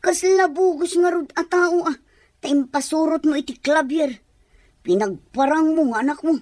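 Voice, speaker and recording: A woman's voice: high voice, normal speed, darkish tone, no room sound, studio quality, natural voice. A woman playing a nosy, blunt, teasing relative in an audio drama. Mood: probing, accusing.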